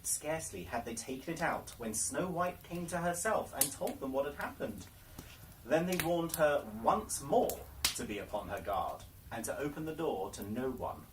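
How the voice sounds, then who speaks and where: A man's voice: on the high side, lively intonation, normal speed. Theatrical male storyteller on a noisy recording. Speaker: library storyteller. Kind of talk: dramatic fairy-tale read-aloud. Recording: noisy, distant microphone, clicks.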